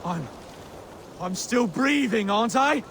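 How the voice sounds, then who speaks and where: A man's voice: sits high, animated, takes it slowly, heavily acted. An actor voicing an earnest, stubborn Saxon would-be king in a video game, dogged in manner. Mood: strained, defiant. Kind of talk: dramatic video game acting. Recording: clearly noisy game background ambience.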